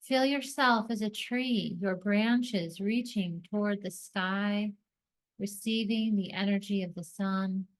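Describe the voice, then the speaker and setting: Slow-paced woman guiding a meditation. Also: mid-range voice. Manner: slow, deliberate phrasing with pauses between short phrases. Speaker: guided meditation host. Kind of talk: guided meditation, soothing scripted narration.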